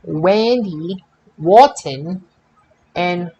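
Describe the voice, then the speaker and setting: Male podcast host, slightly high voice, informal and halting sports recap delivery. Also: animated, mellow, lo-fi sound. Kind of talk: casual wrestling results chat.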